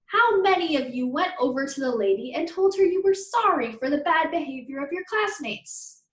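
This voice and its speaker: A woman's voice: high-pitched, animated, normal speed, fairly bright tone, voice pushed up. Stern, scolding schoolteacher played by a woman. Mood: stern disapproval. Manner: reproachful, emphatic. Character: stern, disapproving female elementary school teacher scolding her class. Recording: roomy, echoey sound.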